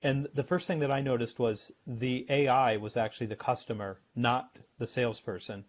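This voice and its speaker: A man's voice: mid-range voice, fairly even intonation, moderate pace. Dull-sounding man explaining with punchy emphasis. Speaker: guest expert on sales. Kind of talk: conversational explanation in a podcast interview.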